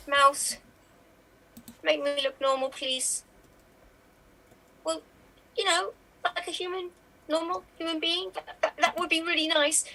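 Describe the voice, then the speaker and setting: A woman's voice: high voice, a bit bright, heavily acted, low-quality recording. A woman voicing a vain, sinister clown spirit who pleads to look like a normal human during a makeover. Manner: fussy, pleading, then shocked. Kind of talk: improv impression.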